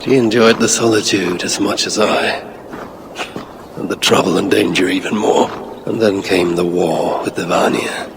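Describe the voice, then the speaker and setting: An actor voicing a weary, stern old Norse god-king in a video game, grave and terse in a gravelly voice. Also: sits low, steady tone, moderate pace, background noise. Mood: somber reminiscence. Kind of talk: dramatic video game voice acting.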